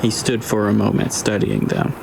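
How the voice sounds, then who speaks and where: A man reading scripted dramatic audiobook narration in a measured, deliberate way. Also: fairly low pitch, fairly even intonation, creaky, background noise. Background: ambient scene sound effects.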